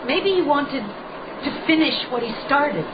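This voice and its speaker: A woman's voice: high voice, animated, slow pace. An actress playing an intense, stubborn, wounded and determined woman in a radio drama. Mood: insistent, defensive. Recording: dull, faintly noisy radio drama recording.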